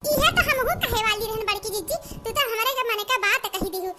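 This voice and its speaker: A woman voicing a scheming, gossiping young village daughter-in-law in a cartoon comedy, with an Awadhi accent and an artificially squeaky, nagging delivery. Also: quick pace. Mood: conspiratorial. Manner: exclamatory, nagging, conspiratorial. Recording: noticeable background noise.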